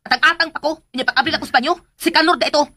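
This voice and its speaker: A woman's voice: high-pitched, racing, bright, forceful tone, no room sound, studio quality. A woman acting a spiteful, hot-tempered, bossy schemer in a melodramatic radio drama. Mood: sulky, angry. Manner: bossy, exclaiming, scolding.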